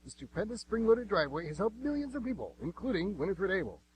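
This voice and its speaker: Zealous male infomercial huckster, acted, rapid parody radio ad, hum and noise behind. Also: high-pitched, big pitch swings. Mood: excited selling. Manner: hard-selling, exclamatory. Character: zealous over-excited infomercial pitchman. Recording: noisy home recording with hum.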